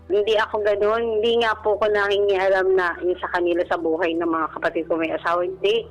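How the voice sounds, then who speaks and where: A Filipino woman calling in to a radio advice show, telling her personal story in a halting, polite way. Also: mid-range voice, level tone, muffled recording. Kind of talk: personal account in conversation. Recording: radio broadcast with music underneath. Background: background music.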